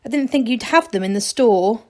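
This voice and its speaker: A woman's voice: fairly high pitch, lively intonation, normal speed, natural voice. A woman voicing an earnest, insistent young male gnome in a children's storybook, plain-spoken. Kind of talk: children's storybook read aloud.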